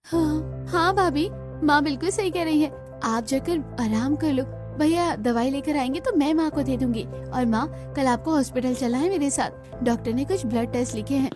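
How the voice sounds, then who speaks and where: Young, eager, helpful daughter of the house, higher voice, cartoon drama, female actor, music underneath. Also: normal speed, lightly acted. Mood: earnest, eager. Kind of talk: Hindi cartoon story dialogue.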